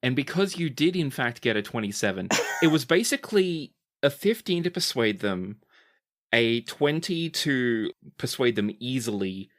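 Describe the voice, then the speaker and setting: A man narrating a tabletop role-playing game as game master, describing scenes and calling for dice rolls. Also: normal speed. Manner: lively, shifting pitch as he narrates.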